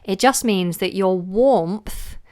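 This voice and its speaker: A woman's voice: takes it slowly, a little mellow. Chatty woman singing teacher, recovering from cold. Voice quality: low voice. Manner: chatty, loses words, many fillers. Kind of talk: live vocal feedback session.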